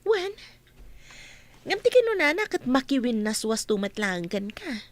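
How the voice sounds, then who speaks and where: A woman's voice: a little high, sing-song, normal speed. A woman playing a distressed young woman pleading and confiding in a radio drama. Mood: pleading, upset.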